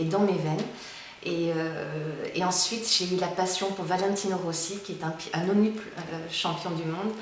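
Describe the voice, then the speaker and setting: 50s woman, low-ish voice, keeps repeating herself, super roomy sound. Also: slight background noise. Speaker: motorcyclist interviewee. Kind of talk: interview answers. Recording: very echoey, distant mic.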